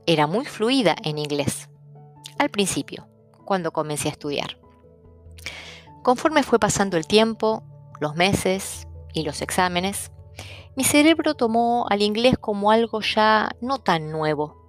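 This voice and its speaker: A middle-aged woman from Argentina with an Argentine accent, calmly explaining things in a personal talk. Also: medium pitch, measured pace, soft background music. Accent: Argentine Spanish. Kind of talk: personal talk on stuttering and languages.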